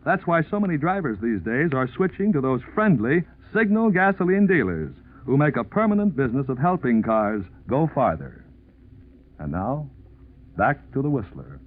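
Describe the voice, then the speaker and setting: A man's voice: mid-range voice, very animated, normal speed. An American man announcing in scripted 1940s radio style, with a polished, emphatic salesman's delivery. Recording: tinny 1940s radio recording, faint music.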